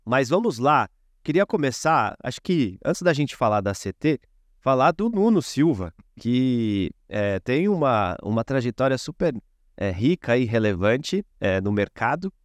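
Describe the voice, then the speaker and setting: A man's voice: medium pitch, sing-song, measured pace, no echo, clean studio sound. A man hosting an interview, speaking in a hesitant way with many fillers. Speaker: podcast host.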